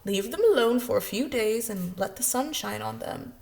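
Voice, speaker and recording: A woman voicing a kindly, sensible frog friend in a children's storybook, gently giving advice. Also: on the high side, expressive, normal speed, gravelly. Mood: reassuring. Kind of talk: children's storybook read-aloud.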